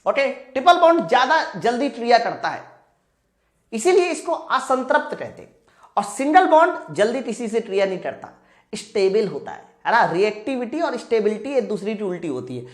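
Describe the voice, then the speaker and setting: A woman's voice: on the high side, very animated, moderate pace. A woman teaching an exam-prep science class, emphatically working through questions, prompting students and repeating key points. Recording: roomy echo.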